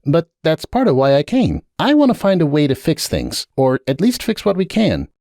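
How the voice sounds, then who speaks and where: A man's voice: a little high, wildly swooping pitch, moderate pace, dark tone, no echo, clean studio sound, voice pushed up. A man voicing an earnest, somewhat awkward adult unicorn wizard who speaks carefully and apologetically in a dramatic audiobook reading. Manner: careful, apologetic, then insistent.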